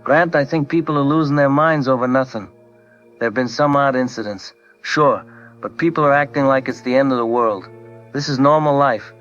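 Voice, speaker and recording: A man's voice: medium pitch, normal speed, fairly bright tone, dull audio, faint music behind, natural voice. A man playing a skeptical, level-headed caller dismissing worries on a radio phone-in. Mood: dismissive. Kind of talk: radio drama phone-in acting.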